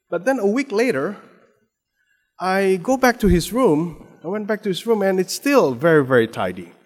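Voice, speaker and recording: Man, Indonesian accent, preacher, fairly high voice, stumbling restarts, emphatic stress, long hall reverb. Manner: many restarts and repeated words, rising to emphatic stress. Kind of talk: sermon.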